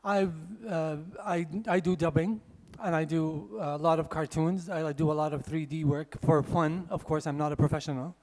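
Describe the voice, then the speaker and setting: A man with a high voice hosts the event and introduces the next speaker. He stumbles and restarts often, and the hall gives him a long echo. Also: steady tone, darkish tone. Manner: halting, many restarts. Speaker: host. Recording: echoey hall.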